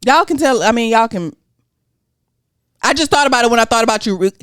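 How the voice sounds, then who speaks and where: A woman with a Southern US accent co-hosting a podcast, talking in an animated, slangy, cursing way. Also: a little high, a little fast, clear, resonant tone, no room sound, studio quality. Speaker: podcast co-host. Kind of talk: casual chat.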